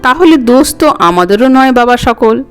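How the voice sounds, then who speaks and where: A woman's voice: on the high side, lively intonation, normal speed, smooth, soft background music, close to an everyday voice. A woman voicing a very old village Brahmin man in a storybook, timid but pertly argumentative. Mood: pert arguing. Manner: hesitant, then pertly argumentative.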